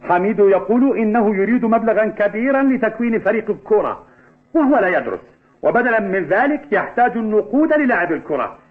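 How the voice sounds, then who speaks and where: An actor playing a gruff, stern middle-aged father who runs a restaurant, blunt and scolding, in a dubbed cartoon. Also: high voice, very animated, fast talker. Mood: scolding, dismissive. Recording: tinny band-limited audio with music behind.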